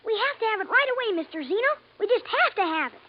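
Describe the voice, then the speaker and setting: Excitable tiny martian kid, super high and swoopy, begging, old hissy radio play. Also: female voice, fast. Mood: urgent, pleading. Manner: pleading, excitable. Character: eager, insistent small Martian child. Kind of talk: vintage radio drama acting. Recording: dull, faintly noisy old radio recording.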